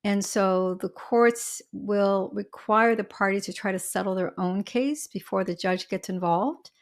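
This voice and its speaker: A woman speaks with a smoky, slightly husky voice, worn by allergies. She is an attorney guest on a podcast, talking through legal rules in a chatty way, with homely examples and quick asides. Her pitch sits in an ordinary middle range. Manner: talkative, explains with everyday examples and asides. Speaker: family law attorney, guest. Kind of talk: podcast interview, explaining law.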